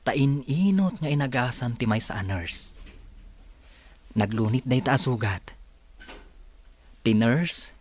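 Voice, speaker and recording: A man's voice: mid-range voice, lively intonation, slow pace, long pauses, darkish tone. A man with an Ilocano accent acting a teasing, self-assured young village engineer in a radio drama. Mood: teasing, curious.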